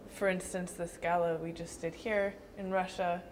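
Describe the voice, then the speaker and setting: A young woman dancer answering interview questions thoughtfully, with frequent pauses and restarts. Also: on the low side, level tone, darkish tone, slight background noise. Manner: thoughtful, hesitant with fillers and restarts.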